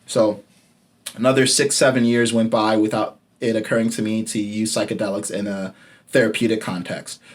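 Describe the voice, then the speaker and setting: A man in his late twenties, a podcast host telling a personal story in a candid, casual way, swearing freely and dropping his voice low when he stresses a point. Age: late 20s.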